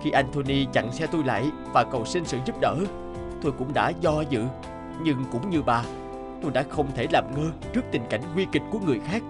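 An actor playing a humble, kind-hearted husband and father in a cartoon dub, with a gentle, sincere manner. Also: mid-range voice, expressive, fairly quick, natural voice. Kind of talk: animated film dubbing. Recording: loud background music. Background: music.

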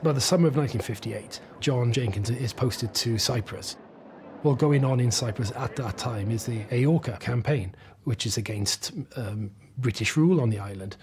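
A mid-pitched man explains events step by step, sometimes restarting a phrase. A faint hiss sits behind him. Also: moderate pace, a little mellow. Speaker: historian commentator. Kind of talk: documentary interview.

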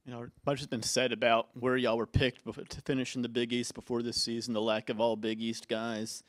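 A man asking measured questions as a reporter at a postgame press conference. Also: medium pitch, little pitch movement, no room sound.

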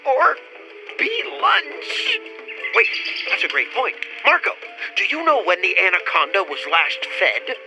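Very high, gushing park guest. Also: male voice, big pitch swings, measured pace, creaky, over music, full character voice. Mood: eager. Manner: gushing, exclamatory. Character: eager, excitable animal-loving young park guest. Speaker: voice actor. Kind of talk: comedic radio play acting.

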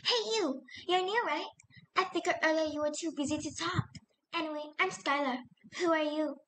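Woman putting on a high, bubbly cartoon animal schoolgirl. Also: normal speed, a bit bright, poor audio. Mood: bubbly, eager. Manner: gushing, eager, exclaiming. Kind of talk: character audition lines.

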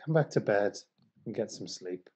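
A man's voice: fairly low pitch, expressive, normal speed, darkish tone, husky. A man plays a sceptical husband of ancient Bethlehem who badly wants his sleep. He delivers spoken-word verse in a British accent, grumbling, sarcastic and exasperated. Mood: sleepy, irritable.

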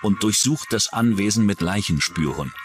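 Deep-voiced male true-crime narrator over music. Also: animated, moderate pace. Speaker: documentary narrator. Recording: music under the voice. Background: background music.

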